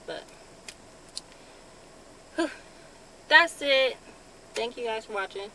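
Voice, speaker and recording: A young woman vlogging from a parked car in a relaxed, casual way, with lots of ums. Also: fairly high pitch, slow pace. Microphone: phone camera on dashboard. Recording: car with clear background noise. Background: vehicle ambience.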